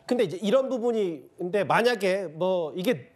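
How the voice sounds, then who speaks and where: A man's voice: high voice, lively intonation, moderate pace, a little mellow. A man anchoring a news discussion show, putting conversational, probing questions to a guest and addressing viewers. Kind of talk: live studio news discussion, questioning an expert.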